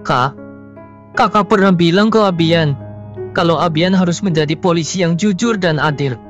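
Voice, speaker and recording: Earnest young policeman, lightly low woman's voice. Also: highs cut off, lightly acted. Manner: earnest, urgent, imploring. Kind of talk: melodramatic horror cartoon acting. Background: background music.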